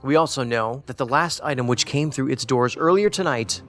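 An actor playing an analytical, aloof federal investigator in an audio drama, with a deliberate, cerebral manner. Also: male voice, medium pitch, fairly bright tone, soft background music, lightly acted. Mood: businesslike.

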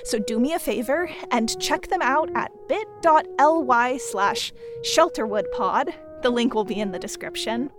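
A woman's voice: a little high, a little slow, few pauses, music in the background. A woman giving an enthusiastic promotional host read as a podcast producer.

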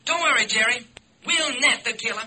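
An actor playing an eager, dogged American homicide lieutenant with a husky voice in an old-time radio drama. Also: male voice, very high voice, normal speed, sharp tone, full character voice. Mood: insistent, confident.

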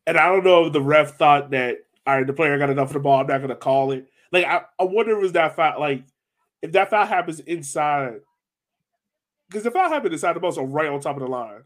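A man's voice: fairly high pitch, animated, brisk. An American man chatting casually about sports as a podcast co-host.

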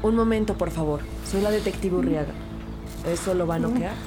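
A brisk female police detective played by a woman tells her case in a measured, storytelling way. Her voice sits fairly low, and loud music plays beneath it in this audio drama. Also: lightly acted. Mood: serious, focused. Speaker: narrator and lead actor. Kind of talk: scripted audio drama narration in first person. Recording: loud music bed under voice.

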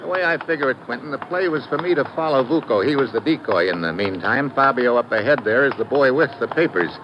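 An actor playing a tough, wry detective in an old-time radio drama, with a hardboiled, slangy delivery. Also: male voice, mid-range voice, animated, fast talker, natural voice. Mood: tough, taunting. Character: tough, wry male American detective narrating his case. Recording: noisy, muffled vintage radio recording.

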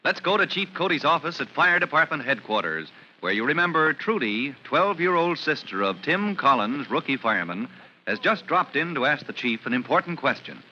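A man's voice: fairly high pitch, big pitch swings, moderate pace, bright, forceful tone, lo-fi sound. A man announcing a vintage 1950s radio drama, narrating from a script in a dramatic, declamatory manner. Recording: noisy vintage radio recording.

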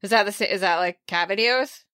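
A woman's voice: mid-range voice, fairly quick, bright, forceful tone, dry recording, clean studio sound. A woman hosting a book podcast in casual chat, bubbly and talkative, laughing often. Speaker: podcast host.

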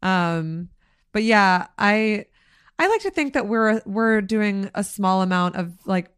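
Hoarse, chatty woman podcast host. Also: mid-range voice, animated, takes it slowly, a bit bright, dry recording, studio quality. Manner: chatty, swears freely, lots of likes and ums. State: a little hoarse. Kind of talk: casual chat.

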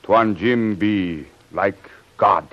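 An actor playing a loyal, reverent servant in broken English, in old radio adventure style. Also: male voice, lowish voice, extremely slow. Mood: devoted, proud. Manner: broken pidgin English, speaking of himself by name. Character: loyal East Indian servant, reverent, simple-spoken, boastful of master. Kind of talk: 1940s radio adventure acting. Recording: dull, band-limited old radio recording.

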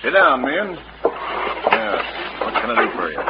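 Wary frontier sheriff, lively swoops. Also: male voice, mid-range voice, measured pace, heavy background noise. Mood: folksy. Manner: folksy, guarded. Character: wary, plainspoken small-town frontier sheriff. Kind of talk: old-time radio western acting. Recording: muffled, humming vintage radio recording.

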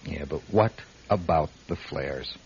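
An actor playing a troubled, doubting airline pilot in a radio drama, hesitant yet insistent. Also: male voice, a bass voice, measured pace, a little mellow, slightly rough, exaggerated voice. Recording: dull old radio, faint noise.

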